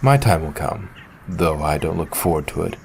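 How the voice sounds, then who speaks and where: A man playing a solemn, reserved young ninja and elder brother in an audio drama, speaking in a measured, formal, brooding way. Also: a bass voice, expressive, gravelly, slight background noise, heavily acted. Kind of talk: fan audio drama acting.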